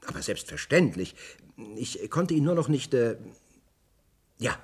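Nervous pushy bureaucrat, radio drama, swooping intonation, husky. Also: male voice, medium pitch, very animated, a little slow. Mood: flustered, servile. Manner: hesitant, stammering, impatient. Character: flustered male official, servile to superiors, pushy with subordinates. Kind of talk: German radio play acting.

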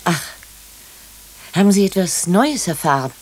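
A woman's voice: lowish voice, sing-song, a little slow, breathy edge, exaggerated voice. An actress playing a genteel, playful, middle-aged woman doctor with a fondness for liqueur in a German radio drama, speaking in an arch manner. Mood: curious. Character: genteel, coy, aging female doctor fond of liqueur.

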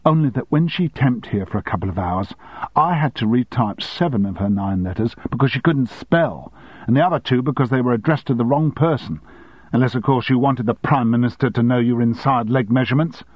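A man voicing an indignant, sharp-tongued longtime woman secretary with cutting, sarcastic retorts, on a dry, slightly dull recording. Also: medium pitch, fairly quick, breathy edge, no echo, voice pushed up, natural voice.

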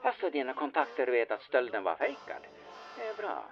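Wry pushy schemer, voice swings up and down a ton, muffled radio drama. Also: male voice, medium pitch, wildly swooping pitch, faint music behind. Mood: conspiratorial, wry. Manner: insistent, sardonic, conspiratorial. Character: bossy, wry, scheming small-time fixer and smuggler. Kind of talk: audio drama acting.

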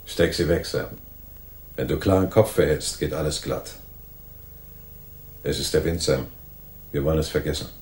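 Senior-sounding noir cop: deep register, fair-minded, firm and reasoning, radio play delivery. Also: male voice, moderate pace, long pauses. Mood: calm. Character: calm, authoritative, fair-minded male police detective. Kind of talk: 1980s German radio crime drama acting.

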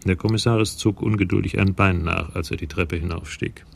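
A man's voice: sits low, fairly even intonation, fairly quick, creaky, slight background noise. A man narrating a radio crime drama in scripted prose.

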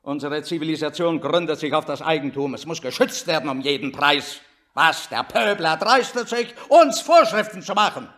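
A man's voice: a little high, lively intonation, moderate pace, fairly bright tone. An actor in a German radio drama playing a haughty, imperious elderly statesman who declaims and sneers. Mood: furious, imperious.

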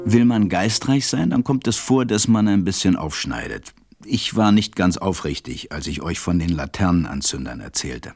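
A man playing a reflective storyteller who confides in children, narrating a radio play in a measured way. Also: low voice, big pitch swings, darkish tone, breathy edge, soft background music, full character voice. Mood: confiding, reflective.

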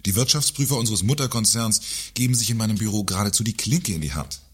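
An actor playing a pompous, cynical company director in a satirical radio play, wordy and condescending. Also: male voice, fairly low pitch, quick pace, breathy edge. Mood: indignant, sarcastic.

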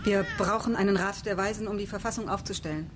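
A woman's voice: medium pitch, fairly even intonation, quick pace, background noise, natural voice. An actress playing a resolute woman leading a space colony in a radio play, insistent and earnest in standard German.

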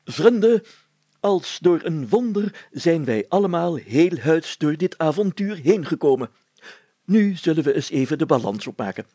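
A man's voice: a little high, sing-song, measured pace, warm tone, breathy edge, no echo, full character voice. A man voicing a bossy, hearty village mayor in a storybook, commanding and pompous, declaiming his lines.

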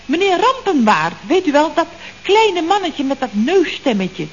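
An actress playing a gossipy, flustered office secretary in a 1960s radio comedy, talking in a chatty, giggly, breathless way. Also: female voice, high voice, very animated, a little slow. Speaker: office secretary at a building firm. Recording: dull old radio recording, faint hiss.

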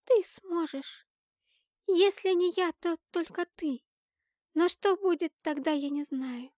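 A small, high-pitched girl from a fantasy storybook played by a woman narrator. She is soft, earnest and full of emotion. She carries ancient power but sounds anxious and sorrowful. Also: slow, dry recording, studio quality. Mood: earnest, grave.

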